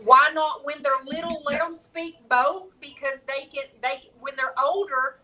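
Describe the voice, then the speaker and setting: Woman in her 40s, easygoing and frank, high bright voice, kinda far from the mic. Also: a little slow. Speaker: guest crafter. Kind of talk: casual chat.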